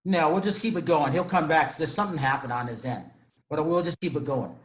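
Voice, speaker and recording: A man's voice: on the high side, brisk. Easygoing male host, echoey room. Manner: directs the show, easygoing. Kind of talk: hosting a video call show. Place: home room. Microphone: video call.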